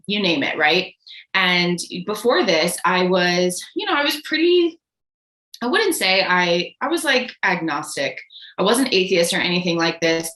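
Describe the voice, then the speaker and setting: A woman's voice: lowish voice, pushed and bright, roomy sound, poor audio. A woman in her late twenties, from Connecticut, chatting as an interview guest with lots of fillers and "like". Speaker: guest, microdosing guide. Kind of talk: casual interview chat.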